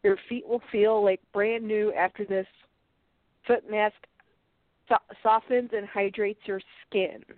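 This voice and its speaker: A woman with a congested, stuffed-up voice talks through beauty products in a relaxed, chatty way, stumbling and restarting as she reads the labels aloud. Her voice comes through a thin, tinny, phone-like line. The sound is close and dry, with no room around it. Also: mid-range voice, dry recording. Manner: chatty, halting restarts, light laughs. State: stuffed up nose. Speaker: co-host reviewing products. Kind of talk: casual unboxing chat, reading package text aloud.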